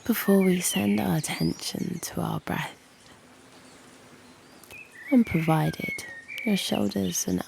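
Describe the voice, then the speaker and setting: Female British meditation guide: mellow, breathy, low-pitched, unhurried and soothing. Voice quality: breathy, often near a whisper. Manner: soothing, unhurried, long pauses between short phrases. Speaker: meditation guide and channel host. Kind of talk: slow guided yoga nidra meditation. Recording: faint background noise with birdsong. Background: birdsong and soft nature ambience.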